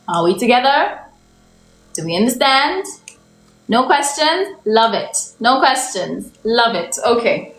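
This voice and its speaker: A young woman from Uganda teaching English on a livestream. She stretches out her example sentences and keeps asking viewers for the correct answer. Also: high voice. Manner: slow, drawn-out example sentences, repeated questions to viewers. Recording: echoey room, faint background noise.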